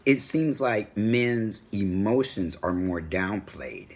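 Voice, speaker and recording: A middle-aged man with a booming voice, talking assertively in a personal home vlog. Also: takes it slowly. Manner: assertive, animated storytelling. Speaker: vlogger. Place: home room with a world map on the wall.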